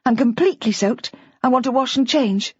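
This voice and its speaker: An urgent, insistent young wife with a high, climbing voice, as a woman reads her lines. She is husky, and the audiobook recording sounds a little dull and dry. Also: moderate pace, no echo. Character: young wife, forceful and pleading.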